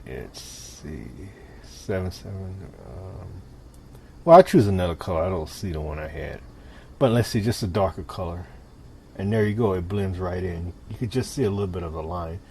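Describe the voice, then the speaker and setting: Low-pitched man narrating a casual tutorial, noisy recording. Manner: informal, step-by-step, talking while demonstrating. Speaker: tutorial presenter. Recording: clear steady background noise.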